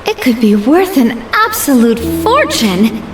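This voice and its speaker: An actress playing a friendly, excitable young woman in a video game, speaking expressively and eagerly. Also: sits high, measured pace, roomy sound, recorded from a distance, background noise. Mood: excited. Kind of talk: video game character voice acting.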